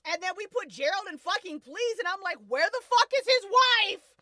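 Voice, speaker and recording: A woman chatting casually as a podcast host, animated and emphatic, with plenty of swearing. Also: very high voice, normal speed, crowd noise. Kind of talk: casual group chat.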